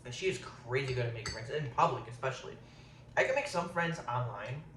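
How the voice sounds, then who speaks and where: A man's voice: mid-range voice, expressive, a little fast, a bit bright. Man, 30s, casual eating vlog, rambling, lots of um, distant mic, roomy echo. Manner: rambling, says um a lot. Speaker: vlogger. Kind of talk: casual chat while eating on camera. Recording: roomy, distant, faint hiss.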